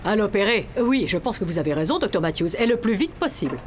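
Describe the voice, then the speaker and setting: A woman voicing a brisk female animal doctor in a French children's cartoon. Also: medium pitch, expressive, background noise, close to an everyday voice. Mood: brisk, professional. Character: female animal doctor, brisk, hard of hearing amid din. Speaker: surgeon character. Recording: music behind the voice.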